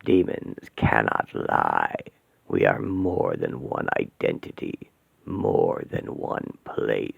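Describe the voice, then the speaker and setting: A man voicing a cruel, wrathful male demon regent who taunts in a husky, menacing whisper. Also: rumbling low, sing-song, slow, dry recording, full character voice. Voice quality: gravelly, husky. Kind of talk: audio drama acting. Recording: dull lo-fi recording.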